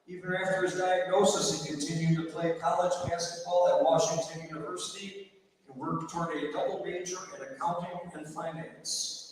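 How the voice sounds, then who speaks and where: A man's voice: fairly high pitch, fairly even intonation, a little fast. A man making formal award-ceremony announcements over a public address system in a large gymnasium. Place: large indoor school gymnasium. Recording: echoey gym PA, distant, faint noise.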